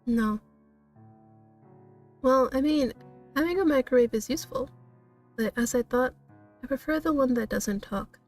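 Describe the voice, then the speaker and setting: Aloof rich man, read by a higher-voiced woman. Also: measured pace, long pauses, a little mellow, recorded from a distance, soft background music. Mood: dismissive. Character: aloof cursed rich man wanting peace and privacy.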